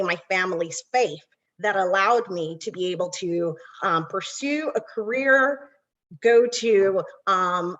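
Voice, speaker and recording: A woman's voice: mid-range voice, fairly bright tone, muffled recording. A woman from Barbados, a nonprofit executive, giving an earnest webinar welcome with frequent ums.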